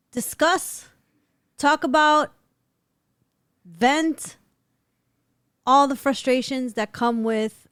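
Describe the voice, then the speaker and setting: A middle-aged mother speaks articulately on her family's podcast from home. Her voice is smooth and slightly high. The sound is close and dry. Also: no echo. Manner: teasing, then earnest and articulate. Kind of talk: casual family podcast talk. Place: home room. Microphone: studio mic on boom.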